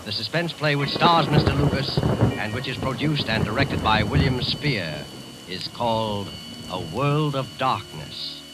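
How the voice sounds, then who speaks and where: A man's voice: medium pitch, expressive, a little slow, very noisy. A man hosting a 1940s radio program, reading a scripted introduction in announcer style. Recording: old radio broadcast with music.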